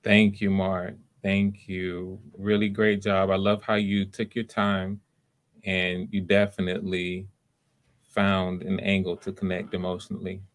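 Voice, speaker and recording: A man's voice: low voice, monotone, measured pace. A man hosting an online audition in a casual, polite and encouraging way. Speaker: audition host.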